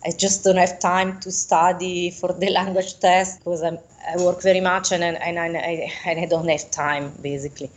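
A middle-aged woman with an Italian accent and a slightly low voice answers in a conversational interview. She explains earnestly, often pausing to find the right word in English, as a native Italian speaker. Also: level tone. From Italy.

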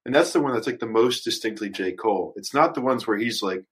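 A man's voice: fairly low pitch, fast talker, husky. A man in a casual podcast discussion, explaining his opinions in a rambling, slangy way. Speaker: podcast panelist. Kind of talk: casual group podcast chat.

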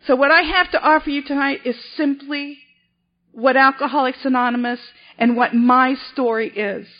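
Woman, convention speaker, high voice, restarts often, muffled hall recording. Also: steady tone. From South Boston, Massachusetts. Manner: self-deprecating, halting, frequent restarts. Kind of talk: personal recovery story at a podium.

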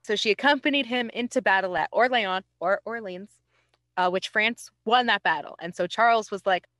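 A woman's voice: medium pitch, a little fast, a bit bright, dry recording, studio quality. A woman hosting a podcast, telling history in a casual, informal way with wry, self-deprecating asides. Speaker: podcast host.

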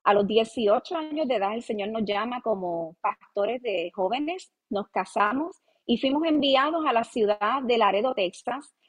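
A woman's voice: fairly high pitch, expressive, normal speed, few pauses, poor audio. A woman in her late thirties from Puerto Rico, with a Puerto Rican Spanish accent, speaking as a pastor and interview guest, earnest and humble, with frequent "eh" fillers. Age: 30s. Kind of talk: podcast interview.

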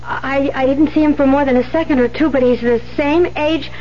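An actress playing an earnest, hesitant young nun in a 1970s radio drama, speaking in a halting, unsure way. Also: female voice, high voice, steady tone, lightly acted. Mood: anxious, unsure. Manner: halting, unsure, repeats words. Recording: muffled, hissy 1970s radio recording with hum.

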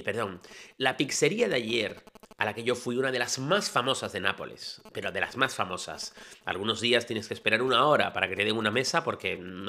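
Guy podcasting, chatty, voice jumps all over, lowish and a bit gravelly. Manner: lively, chatty, swings from loud bursts to quiet asides. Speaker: podcast host. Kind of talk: casual explanatory podcast. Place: travelling in Italy.

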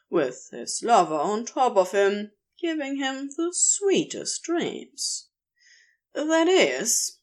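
A woman reading a story aloud as narrator, in an expressive scripted style. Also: medium pitch. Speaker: narrator, amateur audio fiction reader.

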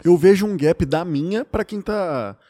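Young Brazilian man, co-host, fairly high mellow voice, reflective storyteller. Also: a little slow. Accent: Brazilian Portuguese. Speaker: podcast co-host. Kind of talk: casual chat.

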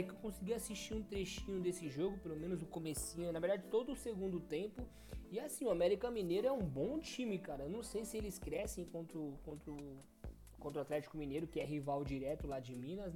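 A man's voice: high-pitched, warm tone. A young Brazilian man co-hosting a casual football podcast, running through results and stumbling over foreign team names. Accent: Brazilian Portuguese. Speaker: podcast co-host. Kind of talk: casual sports podcast chat.

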